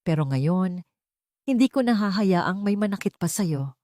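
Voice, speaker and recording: Woman voicing a gentle, earnest young male doctor. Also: lowish voice, lively intonation, a little slow, dry recording, studio quality. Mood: aching devotion. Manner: earnest, soft-spoken.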